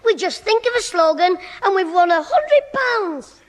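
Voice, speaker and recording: A man's voice: extremely high pitch, big pitch swings, a little slow, full character voice. A man playing a cheeky, mischievous Northern English schoolboy who wisecracks his way through a 1960s radio sitcom. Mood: eager, cheeky. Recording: old hissy, reverberant 1960s radio recording.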